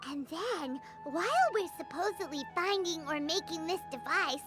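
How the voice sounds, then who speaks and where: A woman voicing a tiny, excitable, childlike fairy companion in a video game, squeaky, bubbly and exclamatory. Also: moderate pace, over music. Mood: excited scheming.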